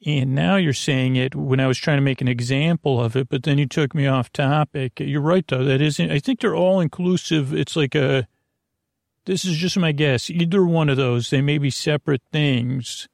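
An American man hosting a bedtime podcast, rambling in a soothing, creaky voice with hesitant, meandering repetitions. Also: a little high, fairly even intonation, moderate pace, no room sound, clean studio sound. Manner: hesitant, meandering, repeats himself; lulling, soothing. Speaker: podcast host.

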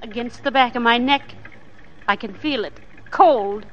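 A woman playing an anxious, soft-hearted wife and mother in an old radio suspense drama. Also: a little high, a little slow, close to an everyday voice. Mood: frightened. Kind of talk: 1940s radio suspense acting. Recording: muffled, noisy old radio recording.

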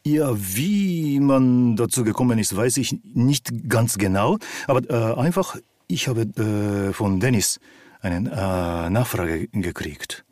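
A calm, relaxed man with a deep voice and a Japanese accent, answering interview questions hesitantly in a language not native to him. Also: slow pace, no echo, clean studio sound. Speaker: actor and opera singer, interview guest.

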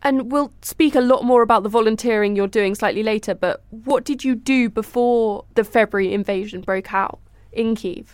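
Woman interviewer, higher pitch, smooth resonant tone, dry close sound. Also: moderate pace, dry recording. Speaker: journalist, interviewer. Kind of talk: interview questions.

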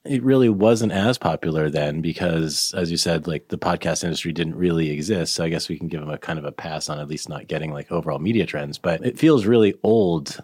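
Very low-voiced man hosting an interview. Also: a little fast, few pauses, darkish tone, slightly rough, no echo, studio quality. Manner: musing, many fillers like "like" and "you know". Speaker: interviewer, host. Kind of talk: conversational interview.

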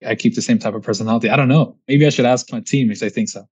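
A man from Sweden in his forties with a Swedish accent, chatting reflectively as an interview guest and often ending thoughts with a quick tag question. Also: mid-range voice, darkish tone, breathy edge. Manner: reflective, chatty, frequent restarts and "right?" tags. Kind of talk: casual interview conversation.

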